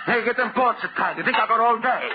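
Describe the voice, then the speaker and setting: An actor playing a greedy, hot-tempered, bossy deep-sea diver, barking and muttering in broken English, in 1930s radio horror style. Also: male voice, fairly quick. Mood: impatient, bossy. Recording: dull, very noisy 1930s radio recording.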